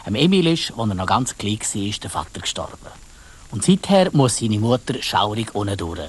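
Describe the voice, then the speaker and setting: A man's voice: on the low side, very animated, moderate pace, a little hiss or hum. A man with a Swiss German accent narrating a children's story in a colloquial way, addressing his listeners directly.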